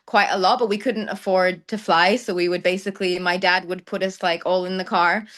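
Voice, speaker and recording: A woman's voice: fairly low pitch, fairly even intonation, a little fast, a bit bright. A young woman who grew up in the Netherlands, a travel content creator answering interview questions thoughtfully, with many ums and light laughs. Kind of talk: conversational interview.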